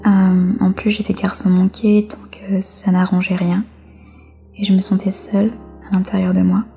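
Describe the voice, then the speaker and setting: A woman from France speaks as an interview guest, sharing personal testimony. Her voice is gravelly with fry and stays fairly even. She phrases things reflectively, with hesitant pauses, over faint music. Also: mid-range voice.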